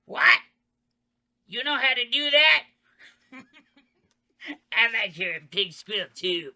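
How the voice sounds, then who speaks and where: An actor reading a foul-mouthed, jumpy killer clown in a comedy horror table read. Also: male voice, very high voice, lively intonation, takes it slowly, vocal fry, bright, forceful tone, exaggerated voice. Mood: startled.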